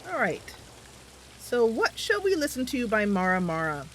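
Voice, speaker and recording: A woman's voice: fairly high pitch, big pitch swings, normal speed, slight background noise. A woman hosting a gaming stream, chatting casually to her viewers with game sound behind her. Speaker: streamer and host of a roleplay gaming channel.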